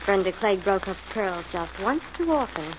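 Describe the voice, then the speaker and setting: A woman plays a plucky female assistant to a detective in a vintage radio mystery. She is inquisitive and lightly teasing, with a medium-pitched voice on a dull old recording with faint noise. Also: animated, normal speed, close to an everyday voice. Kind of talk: 1940s radio acting.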